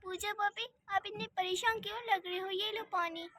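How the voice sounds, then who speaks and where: Woman acting a very high, meek sister-in-law. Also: steady tone, normal speed, bright, forceful tone, no echo. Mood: concerned. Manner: timid, pleading, polite. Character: meek, apologetic young sister-in-law in a village household. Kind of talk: children's cartoon story acting.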